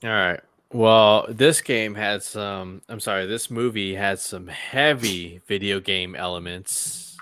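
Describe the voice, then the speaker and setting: A man's voice: fairly low pitch, slow, few pauses, a bit bright, smooth, no echo. A man speaking casually as a panelist in a group chat show.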